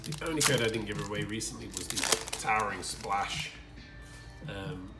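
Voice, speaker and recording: A man with a hoarse, croaky voice chats casually while opening cards, clearing his throat between halting remarks. His voice sits a little low and sounds set back from the mic in an echoey room. Loud music plays underneath throughout. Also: distant mic. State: croaky, hoarse from screaming at a game. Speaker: card-opening channel host. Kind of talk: casual unboxing commentary. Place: indoor room with collectibles on shelves.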